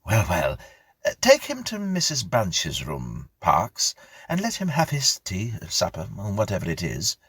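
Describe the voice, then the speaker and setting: A man voicing an austere but eagerly kindly old gentleman, fussy and effusive, repeating his questions in a storybook reading. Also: fairly low pitch, wildly swooping pitch, measured pace, breathy edge, no room sound, clean studio sound, pitched above the performer's own voice, full character voice.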